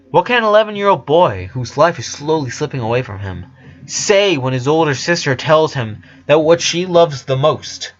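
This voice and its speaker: Male narrator, fairly high voice, dramatic story reading, occasional stumbles, slightly lo-fi recording. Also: a bit bright. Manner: occasional stumbles over words.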